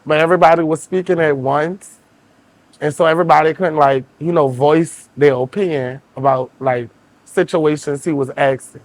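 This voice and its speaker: A man, reflecting calmly in a reality show confessional. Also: fairly high pitch, moderate pace, poor audio. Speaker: reality show cast member. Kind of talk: confessional recounting.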